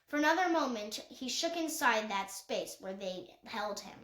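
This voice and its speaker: Echoey, high-voiced woman reading a story aloud. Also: expressive, moderate pace, fairly bright tone. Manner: occasional stumbles and self-corrections while reading. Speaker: audiobook reader. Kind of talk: scripted narration, reading a children's novel aloud. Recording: echoey untreated room.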